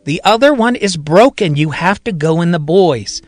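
A man's voice: sits high, expressive, normal speed, no room sound. A man voicing a tired adult woman who firmly and wearily manages a grown man acting like a child. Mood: firm exasperated. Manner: firm, exasperated telling-off.

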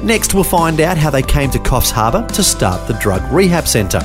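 A man's voice: a little high, sing-song, moderate pace. A man hosting a radio program, reading a scripted introduction. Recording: read over background music.

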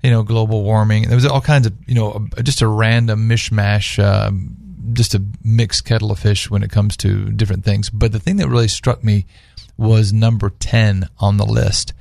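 A man's voice: lowish voice, little pitch movement, moderate pace, vocal fry, hardly any pauses, darkish tone, dry recording, studio quality. A man speaking as a guest on a radio talk panel, earnestly telling stories and posing rhetorical questions in conversational religious discussion.

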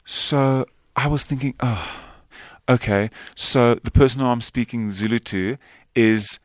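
A man's voice: medium pitch, a little slow, no room sound, studio quality. A man from South Africa, a native Afrikaans speaker, speaking English as a film's guest on a radio interview, talking earnestly and often restarting his sentences. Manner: earnest, rambling, with many restarts. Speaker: filmmaker and actor guest.

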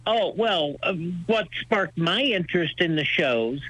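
Higher-pitched older American man, dry studio sound. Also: lively intonation, unhurried, fairly bright tone, no echo, poor audio. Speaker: radio show host being interviewed as guest. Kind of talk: conversational radio interview, storytelling.

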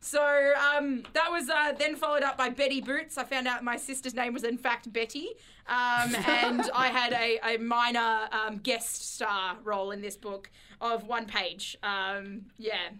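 A woman's voice: on the high side, little pitch movement, a little slow, bright, forceful tone, slight background noise. A woman co-hosting a radio show, chatting casually with wry, mock-boastful humour. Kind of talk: casual comic chat.

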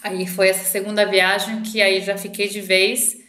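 A woman's voice: mid-range voice, level tone, moderate pace, sharp tone. Argentine woman in a roomy echo. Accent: Argentine Spanish. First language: Spanish. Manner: thoughtful, frequent hesitations and restarts. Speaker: marketing director guest. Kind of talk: conversational interview answers.

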